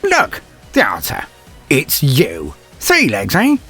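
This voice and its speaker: A man voicing a gruff, defiant old inquisitor in an audio story, mocking and theatrical, with a gravelly, husky voice. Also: medium pitch, huge pitch swings, takes it slowly, sharp tone, soft background music, voice pushed up, exaggerated voice. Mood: triumphant, menacing. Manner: theatrical, taunting, tags questions with "eh".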